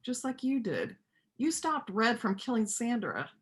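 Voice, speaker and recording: A woman performing a reader's theater part as a gentle but firm pacifist country vet, earnest and plain-spoken. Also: a little high, big pitch swings, measured pace. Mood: persuasive. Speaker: amateur church play performer.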